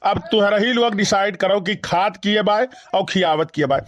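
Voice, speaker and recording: A man's voice: high-pitched, normal speed, fairly bright tone. A man voicing an exasperated, skinny henpecked village husband in a comedy cartoon, grumbling repetitively with an Awadhi accent. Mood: aggrieved complaining. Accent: Awadhi, eastern Uttar Pradesh. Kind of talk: rustic comedy cartoon dialogue.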